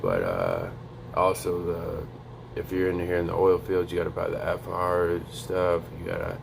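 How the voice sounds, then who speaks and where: A young man giving a casual, profane motivational vlog, speaking low and quiet to avoid waking a neighbor. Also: measured pace, creaky, background noise. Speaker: vlogger. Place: home in North Dakota.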